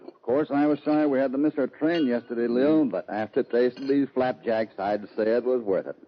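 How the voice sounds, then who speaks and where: An actor playing a calm, commanding, wry American cowboy hero in an old-time radio drama. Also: male voice, mid-range voice, a little fast, natural voice. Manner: steady, authoritative, dry. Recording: dull, hissy old radio recording.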